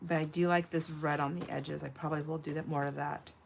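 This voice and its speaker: A woman's voice: low voice, little pitch movement, fast, gravelly, background noise. A woman hosting a casual craft livestream, chatting and thinking aloud in a rambling way, with a slightly nasal voice. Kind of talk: casual live chat while crafting.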